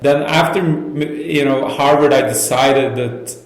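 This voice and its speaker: A man's voice: mid-range voice, a little slow. Echoey-room man from Norway, enthusiastic interviewee. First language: Norwegian. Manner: enthusiastic wording, frequent you-knows. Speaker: interview guest, startup founder. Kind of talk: conversational career storytelling. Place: indoor co-working office. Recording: roomy, echoey indoor sound.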